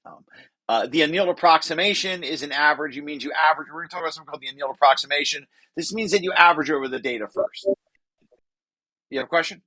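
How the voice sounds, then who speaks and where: A man's voice: on the high side, very fast, pushed and bright, dry recording, rough recording. An older man giving an informal technical lecture, reading through a paper and explaining it, often rephrasing as he goes. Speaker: researcher presenting his paper.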